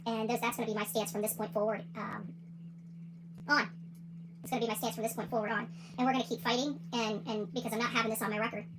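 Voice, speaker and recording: A woman's voice: a little high, level tone, fast talker, noisy background. A woman hosting a livestream, giving opinionated commentary in a combative, indignant and emphatic way, often repeating phrases for emphasis. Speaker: livestream host.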